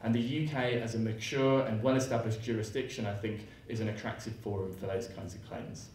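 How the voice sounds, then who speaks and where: A man giving a fluent, measured talk on a conference panel. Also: lowish voice, fairly even intonation, darkish tone, a little hiss or hum. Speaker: panel speaker on law. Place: lecture hall. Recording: echoey hall, distant mic.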